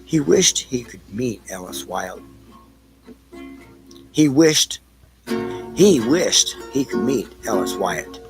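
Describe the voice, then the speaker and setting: A man narrating an audio play from a script, with a halting delivery that repeats phrases. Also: mid-range voice, sing-song, music in the background, low-quality recording.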